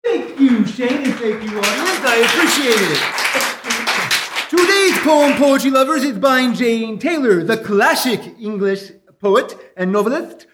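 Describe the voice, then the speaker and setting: A goofy cartoon moose with a strikingly high voice. He declaims like a self-important poetry lover, grand and theatrical, in a live radio variety sketch. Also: animated, measured pace, fairly bright tone, some chatter behind, slight background noise, full character voice. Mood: grandly reciting. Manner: pompous, theatrical recitation.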